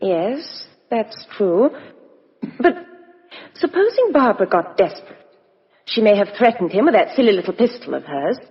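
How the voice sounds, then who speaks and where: An actress playing a cool, outspoken, sharp-minded young Englishwoman in a 1950s radio drama. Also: mid-range voice, very animated, moderate pace, long pauses. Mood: speculating. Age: young adult. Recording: muffled vintage radio broadcast, reverberant studio.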